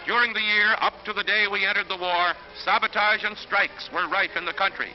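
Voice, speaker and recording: A man's voice: sits high, fairly even intonation, fairly quick. An American man narrating a 1940s newsreel in an emphatic, declamatory manner. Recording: muffled 1940s newsreel soundtrack. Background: orchestral music.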